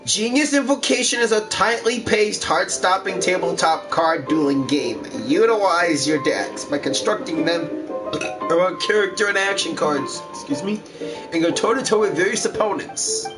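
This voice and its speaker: High-voiced man doing distant-miked game commentary. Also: animated, moderate pace. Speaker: gameplay streamer doing a walkthrough. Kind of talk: gaming commentary, reading on-screen text aloud. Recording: distant mic with game music behind.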